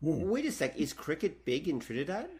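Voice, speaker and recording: A man's voice: on the high side, sing-song, fairly quick, a little mellow. A Canadian man co-hosting a podcast, talking casually in a jokey, self-deprecating way. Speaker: podcast co-host.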